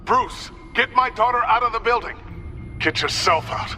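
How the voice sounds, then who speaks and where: A loyal, desperate middle-aged father with a high, piercingly bright voice. He speaks urgently and with strained regret, as in video game drama, over faint noise. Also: moderate pace, full character voice. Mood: desperate, regretful.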